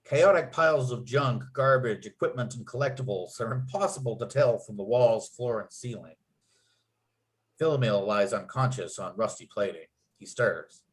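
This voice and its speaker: A man's voice: medium pitch, normal speed. A man reading script stage directions aloud as narrator, with clipped, dramatic scene headings. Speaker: narrator and writer.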